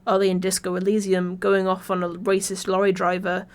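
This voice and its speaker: An English woman narrates a scripted video essay at a middling pitch. Her delivery is wry and informal, with casual swearing mixed into considered prose. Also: monotone, brisk, smooth. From Birmingham, England.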